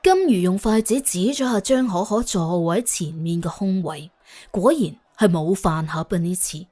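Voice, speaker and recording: A woman narrating an audiobook in colloquial Cantonese, telling the story in an expressive, wry way. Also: lowish voice, moderate pace, some chatter behind. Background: faint background music.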